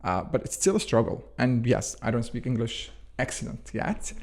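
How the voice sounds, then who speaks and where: A man's voice: mid-range voice, big pitch swings, moderate pace. Rambling man. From Jordan. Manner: rambling, leans on "actually" and "sort of". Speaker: interview guest, food scientist and company founder. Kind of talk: conversational interview answers.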